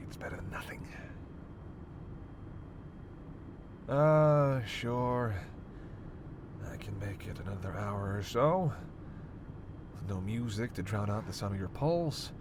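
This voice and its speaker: Over clear vehicle noise comes a snarky vampire captive , played by a man in a roleplay audio drama. His voice sits at an average male pitch. He needles and flirts with sardonic, sweary banter, sighing as he goes. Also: natural voice. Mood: hungry, reluctant. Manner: sardonic, flirtatious teasing with sighs and cursing. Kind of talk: roleplay audio drama, one-sided dialogue.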